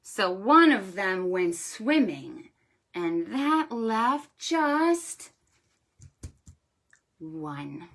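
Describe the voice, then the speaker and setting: A woman hosting storytime for preschoolers in an exaggerated sing-song way, encouraging them and pausing after her questions. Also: mid-range voice, very animated, fairly bright tone. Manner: sing-song, exaggerated delivery for small children. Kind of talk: interactive storytime for young children, counting rhymes.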